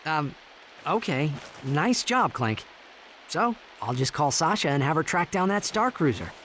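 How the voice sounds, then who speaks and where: An actor playing a youthful, eager alien hero in a video game, casual and expressive. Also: male voice, a little high, measured pace, slight background noise. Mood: surprised, concerned.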